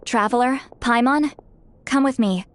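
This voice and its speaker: Woman as reserved serious young woman, measured, earnest, light-voiced, game cutscene. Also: on the high side, noisy background, close to an everyday voice.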